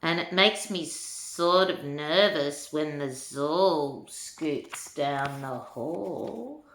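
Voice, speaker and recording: An older woman, a grandmother, reads a rhyming children's storybook aloud in an unhurried lilt, chatting to young listeners in asides. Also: deep voice, lively intonation, fairly bright tone. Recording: echoey room.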